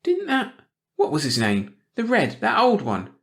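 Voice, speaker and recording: A man voicing a sharp-faced, nosy small-town woman who talks in a suspicious, gossipy way. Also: sits high, wildly swooping pitch, a little slow, pitched above the performer's own voice, full character voice.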